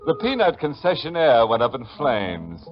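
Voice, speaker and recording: A man's voice: medium pitch, wildly swooping pitch, normal speed. A man with an American accent playing a ghoulish, sardonic host of a 1940s radio horror mystery, narrating dramatically. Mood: gleefully macabre. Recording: muffled 1940s radio broadcast with music.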